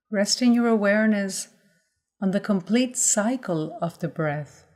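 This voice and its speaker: Slow-paced woman guiding a meditation. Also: on the low side. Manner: measured, gently instructional phrasing with pauses. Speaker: mindfulness meditation guide. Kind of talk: guided body scan meditation.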